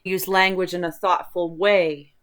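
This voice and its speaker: A woman's voice: on the low side, level tone, a little slow, a bit bright. A woman hosting an online video, talking in a casual, rambling, chatty way with informal enthusiasm for what interests her. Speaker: host of an online video channel.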